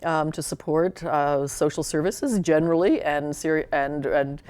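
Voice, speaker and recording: Deep-voiced woman arguing policy. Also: moderate pace, darkish tone, smooth. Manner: argumentative, with filler words. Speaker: provincial legislator. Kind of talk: interview.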